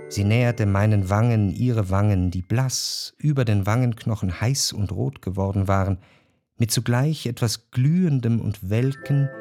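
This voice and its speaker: A reflective, jealous lover narrates his inner life. His mid-range voice phrases the literary text deliberately, with music underneath. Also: mid-range voice, darkish tone. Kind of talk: scripted literary narration in radio drama.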